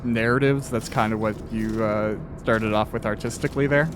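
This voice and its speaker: A man's voice: on the low side, fairly even intonation, moderate pace, a little hiss or hum. A man hosting a casual interview while playing a video game. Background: video game audio, faint music.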